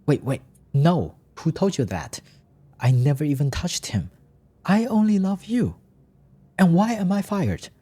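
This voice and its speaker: A man's voice: on the high side, very animated, unhurried, long pauses, dark tone, breathy edge, voice pushed up, full character voice. A man voicing an earnest, flustered husband who pleads and placates, in a story. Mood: panicked pleading.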